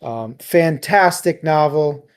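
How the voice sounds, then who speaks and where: A man with a slightly high voice co-hosts a relaxed, informal book discussion. He takes his time over his words, with a dry, teasing sense of humor. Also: slow, clear, resonant tone. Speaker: book club podcast co-host. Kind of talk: casual book chat on a livestream.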